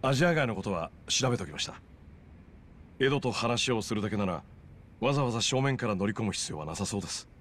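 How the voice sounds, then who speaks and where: A man's voice: fairly low pitch, lively intonation, fast, fairly bright tone, slight background noise. An actor playing an earnest man in his thirties in a game cutscene, explaining matters at length in a serious tone. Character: earnest male informant, thirties, loyal and troubled. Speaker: loan business owner. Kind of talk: video game cutscene dialogue. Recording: game audio with music behind. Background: music.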